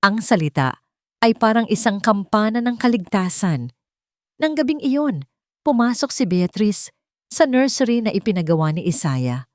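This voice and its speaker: A woman's voice: medium pitch, very animated, normal speed, a little mellow, no room sound, studio quality. A woman reading a scripted, dramatic love story as a narrator.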